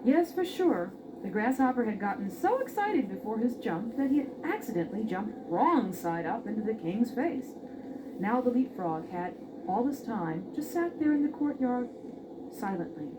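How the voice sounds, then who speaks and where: A woman's voice: on the high side, very animated, normal speed. A woman narrating a scripted fairy tale expressively as a storyteller. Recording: dull, noisy old record.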